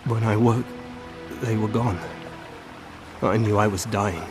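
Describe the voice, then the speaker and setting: Slow, dying, haunted elf seer. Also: male voice, on the low side, steady tone, music in the background. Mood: weary recounting. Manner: slow, halting, labored. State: weak from fatal wounds, as acted. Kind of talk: video game voice acting.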